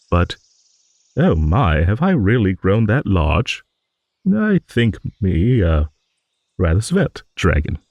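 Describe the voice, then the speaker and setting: A man's voice: low voice, no room sound, full character voice. A man voicing a rambling old dragon, genial, wistful and a little vain, in an improvised fantasy audio monologue. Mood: amused musing. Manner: rambling, musing, with hums and soft chuckles.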